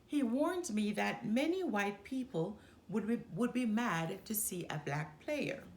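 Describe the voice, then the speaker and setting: A woman reading a children's picture book aloud as a storyteller, with deliberate phrasing and dramatic emphasis. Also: medium pitch, expressive, darkish tone. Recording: roomy, echoey sound.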